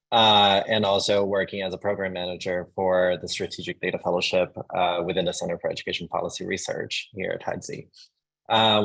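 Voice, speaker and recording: Gravelly low-voiced man, dry sound. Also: no room sound, rough recording. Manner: warm, hesitant, many "uh"s. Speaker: panelist, education entrepreneur. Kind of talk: self-introduction on a panel.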